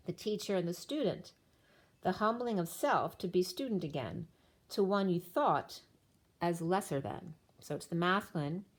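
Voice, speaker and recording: A woman's voice: on the low side, a little mellow. A woman doing a spiritual tarot reading, running through cards and numbers in a flowing, incantatory way, her voice wearing thin. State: losing her voice. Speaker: tarot reader.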